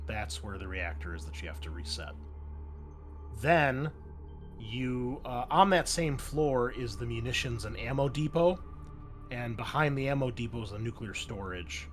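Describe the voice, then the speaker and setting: Man, low voice, game master, conversational explaining, tabletop roleplaying narration, music behind.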